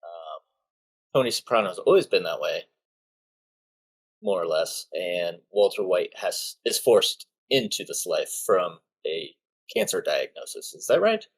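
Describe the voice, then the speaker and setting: A man's voice: low-pitched, big pitch swings, a little slow, breathy edge. A man co-hosting a podcast, chatting in an easygoing way with frequent hesitations. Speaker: podcast co-host. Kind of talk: casual chat.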